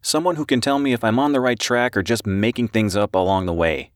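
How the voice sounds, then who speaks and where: A man performs an audiobook reporter, nervous and eager to please. His voice is pushed up higher, with hurried, earnest, apologetic phrasing. The sound is close and very dry. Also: no echo, natural voice. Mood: earnest, persuasive. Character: nervous, pleading male newspaper reporter on a phone call. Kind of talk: audiobook character dialogue.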